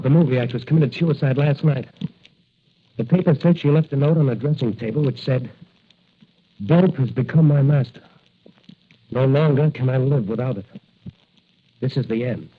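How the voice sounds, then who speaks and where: A man's voice: mid-range voice, breathy edge, close to an everyday voice. An actor playing a young, confident rookie patrolman hero, earnest and brash, in 1940s radio style. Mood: determined. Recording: tinny, narrow old radio recording.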